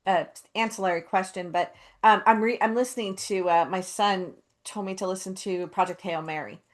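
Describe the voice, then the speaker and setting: A woman's voice: medium pitch, fairly even intonation, normal speed. Curious middle-aged woman host. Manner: curious, asks follow-up questions. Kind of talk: casual conversation.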